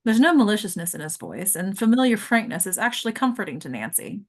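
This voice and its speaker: A woman's voice: mid-range voice, sing-song, fast. Woman reading screenplay stage directions. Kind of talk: scripted narration at a table read.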